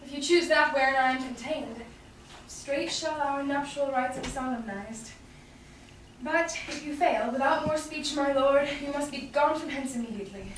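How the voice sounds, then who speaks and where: A woman playing a dignified noble heiress in a Shakespearean staged reading, with measured, formal delivery. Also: sits high, natural voice. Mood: formal, reserved. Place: live staged reading in a reverberant room. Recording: very echoey room, distant, faint noise.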